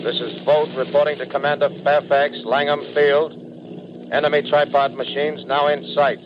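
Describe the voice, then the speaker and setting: An actor playing a resolute military bomber pilot, reporting in a clipped, formal way in 1930s radio drama style. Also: male voice, a little high, little pitch movement, normal speed, lightly acted. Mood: tense, businesslike. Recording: noisy muffled 1930s radio, engine drone behind.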